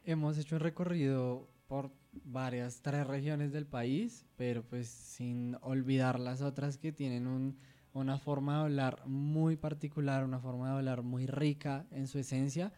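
A man from Colombia co-hosting an educational radio show, reading definitions in a measured way. His voice is mellow and warm, at an ordinary pitch. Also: smooth.

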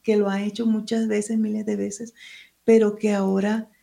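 A woman's voice: medium pitch, little pitch movement, moderate pace, creaky, dark tone. A woman, a writer and teacher, who speaks Spanish as her first language, answering interview questions in a hesitant, searching way and reading from her book.

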